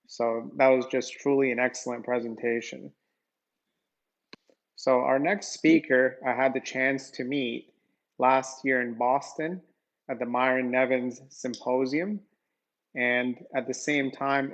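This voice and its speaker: Mid-pitched man hosting a symposium. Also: low-quality recording. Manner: complimentary, polite. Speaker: symposium moderator and host. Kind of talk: introducing speakers at online symposium.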